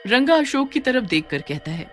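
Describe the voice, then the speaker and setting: A woman narrating a scripted cartoon story as an expressive storyteller. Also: a little high, moderate pace, soft background music.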